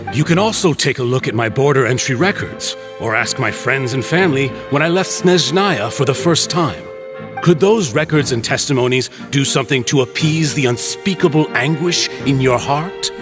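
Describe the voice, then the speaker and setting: A man's voice: medium pitch, close to an everyday voice. Man as condescending, theatrical middle-aged businessman villain. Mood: mocking. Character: smooth-talking older businessman villain, patronizing, feigned fatherly hurt. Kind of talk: dramatic game cutscene acting.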